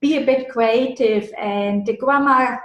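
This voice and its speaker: A woman's voice: fairly high pitch, measured pace, roomy sound, slight background noise. A woman with a German accent, an online German teacher, answering interview questions casually and explaining with examples. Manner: thoughtful, explains with examples, frequent fillers.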